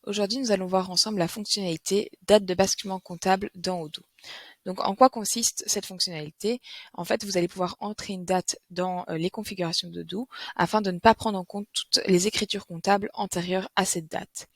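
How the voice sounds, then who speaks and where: A woman presenting a software tutorial, explaining step by step in a somewhat hesitant, instructional way. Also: medium pitch, steady tone, brisk, no room sound. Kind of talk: screen tutorial, semi-scripted explanation.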